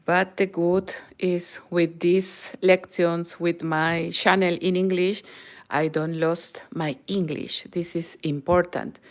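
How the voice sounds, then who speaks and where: Slow Peruvian woman teaching crochet. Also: lowish voice, little pitch movement. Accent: Peruvian Spanish. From Peru. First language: Spanish. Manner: slow, careful, non-native English, often drops to a near whisper. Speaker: crochet channel host. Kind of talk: live crochet tutorial.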